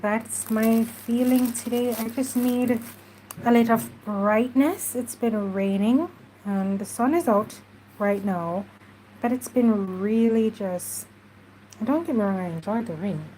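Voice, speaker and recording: A woman's voice: on the high side, mellow, a little hiss or hum, poor audio. A woman with a stuffy nose, chatting casually through a craft tutorial while she works. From Jamaica. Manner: chatty, unhurried, talks while working. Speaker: craft journaling host.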